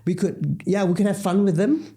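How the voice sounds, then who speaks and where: A man's voice: high-pitched, normal speed, dark tone, slightly rough. A man from Singapore, hosting a casual podcast chat with rambling, joking asides. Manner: chatty, rambling, jokey asides. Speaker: podcast host. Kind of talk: casual conversation.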